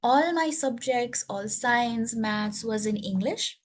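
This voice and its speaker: Young Nepali woman, Newari native speaker, sits a little high in pitch, earnest and explanatory with plenty of uh and um. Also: slow pace, a little hiss or hum. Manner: earnest, explanatory, frequent uh and um fillers. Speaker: speaker sharing her English learning story. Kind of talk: prepared personal talk to learners.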